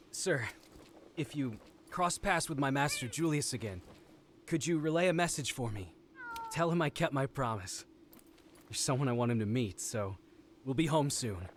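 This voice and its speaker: An actor playing an eager, loyal young hunter in a video game, earnest and respectful in manner. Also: male voice, mid-range voice, slight background noise, close to an everyday voice. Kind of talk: video game cutscene acting.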